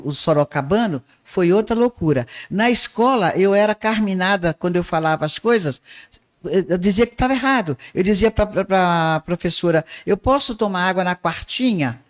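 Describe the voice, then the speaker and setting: An older woman from Northeast Brazil with a softened Northeastern accent, telling her life story as an interview guest in an unhurried way. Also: on the low side, animated. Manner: unhurried storyteller with small restarts. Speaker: guest, art teacher and radio presenter.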